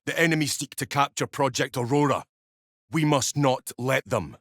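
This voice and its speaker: An actor playing a stern, commanding military captain in a science fiction video game, speaking in a clipped, formal, authoritative way. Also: male voice, medium pitch, a bit bright, no echo, clean studio sound, lightly acted. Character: stern, commanding male military captain of armored space soldiers. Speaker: mission briefing officer. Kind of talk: scripted video game voice acting.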